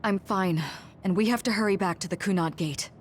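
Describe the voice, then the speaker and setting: An actress playing a gentle, serious mother in a video game cutscene. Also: mid-range voice, brisk, slight background noise, natural voice. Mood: grave. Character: gentle, grave mother reunited with her grown son. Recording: music behind the voice.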